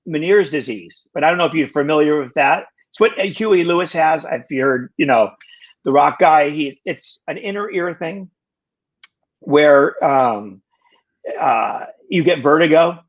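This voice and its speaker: Halting, husky-voiced man in his sixties. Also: on the high side, highs cut off. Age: 60s. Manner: halting, full of restarts, wry self-deprecating humor. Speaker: podcast guest. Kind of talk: casual conversation.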